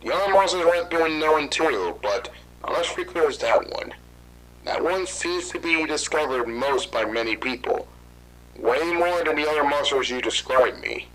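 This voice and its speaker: Man voicing a composed official interviewer, formal and measured, bright clear tone, horror audio drama. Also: medium pitch, slight background noise, natural voice, rough recording.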